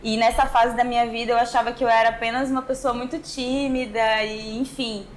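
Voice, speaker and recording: A woman's voice: a little high, level tone, brisk. A young woman from Manaus with a northern Brazilian accent, talking informally and reflectively as a podcast guest about her own experience. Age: young adult. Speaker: podcast guest, musical artist. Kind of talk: conversational personal storytelling in an interview. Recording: clear background noise.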